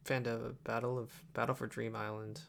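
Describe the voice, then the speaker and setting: A man's voice: medium pitch, level tone, moderate pace. A young man giving casual, offhand livestream commentary while he works at a computer, often muttering to himself. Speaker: video editor and streamer. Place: indoor room at a computer desk. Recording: clear background clicking and typing noise. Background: mouse clicks and keyboard typing.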